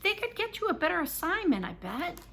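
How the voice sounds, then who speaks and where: A woman voicing an excitable, competitive, boastful boy in a children's storybook reading. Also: very high voice, brisk, voice pushed up, full character voice. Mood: curious, concerned.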